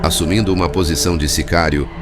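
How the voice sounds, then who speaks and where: A man reading scripted audiobook narration in a formal, measured way. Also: sits low, steady tone. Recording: music bed behind narration.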